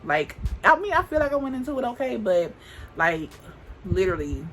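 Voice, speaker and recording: A woman's voice: on the high side, big pitch swings, normal speed, soft background music. A woman in her twenties chatting casually to camera while doing her makeup, rambling and restarting her sentences, with speech. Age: 20s. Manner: rambling, thinks aloud with many restarts and "like". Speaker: beauty vlogger.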